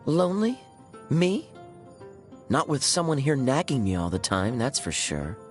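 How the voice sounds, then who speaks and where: A young, suave cavalry captain from a fantasy video game. He speaks in a smooth, teasing drawl at a middle pitch, with wide, theatrical swings. Faint music plays behind him. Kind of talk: video game character voice lines. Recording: studio voice over background music.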